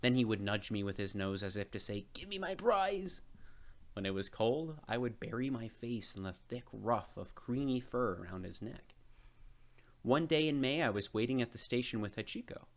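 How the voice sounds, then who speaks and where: A man reading a children's picture book aloud as an expressive storyteller, with measured phrasing and emphasized words. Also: on the low side.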